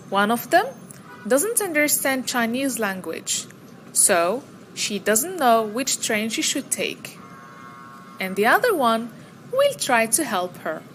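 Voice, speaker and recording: A woman teaching beginner English, speaking slowly and clearly with careful, drawn-out words. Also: on the high side, slight background noise. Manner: slow, deliberate, over-enunciated teaching delivery. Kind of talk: slow scripted lesson narration.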